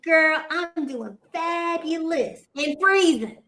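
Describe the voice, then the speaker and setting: A woman in her forties hosts a relaxed online show from home. Her voice sits high and bright. She talks slowly, playful and full of banter over a video call. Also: slight background noise. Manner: lively, playful, Southern-style "girl" and "y'all" banter. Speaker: podcast host. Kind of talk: casual livestream chat.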